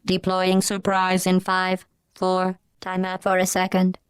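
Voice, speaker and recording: A woman playing a coldly polite, menacing artificial intelligence in a video game, deadpan and formal, with a synthetic voice flattened to a monotone. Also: a little slow, clear, resonant tone, dry recording. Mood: coolly sardonic. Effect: synthetic robot voice, pitch flattened to monotone. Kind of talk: scripted video game voice lines.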